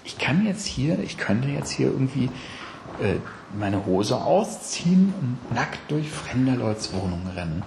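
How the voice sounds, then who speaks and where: A man muses hesitantly into a personal audio diary. He speaks slowly in a fairly low, creaky voice, with faint noise behind. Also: big pitch swings.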